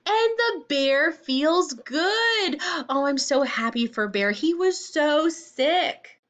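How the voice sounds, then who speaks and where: A woman's voice: high-pitched, animated, slow, fairly bright tone. A woman reading a children's story aloud like a teacher, speaking expressively and explaining things simply to young listeners.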